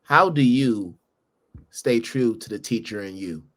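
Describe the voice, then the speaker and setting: A man's voice: mid-range voice, animated, measured pace, a bit bright. A man hosting a podcast interview, affirming his guest and moving to a closing question. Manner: affirming, wraps up with set question. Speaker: podcast host.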